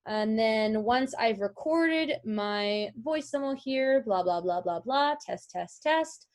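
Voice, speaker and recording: A woman's voice: on the high side, expressive, slow, darkish tone. A woman giving an informal lesson as a voice-over artist, chatty and explanatory with emphatic points. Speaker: voice-over artist teaching a class. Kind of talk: informal instructional talk. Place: indoors, online video call.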